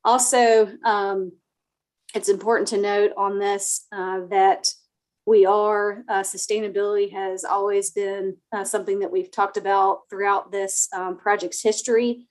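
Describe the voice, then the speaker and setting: Soft-spoken woman with a flat delivery. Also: medium pitch, unhurried. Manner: soft-spoken, many fillers. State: slightly sick. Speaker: project planner presenting to a town board. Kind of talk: formal presentation at a remote meeting. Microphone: computer mic on a video call.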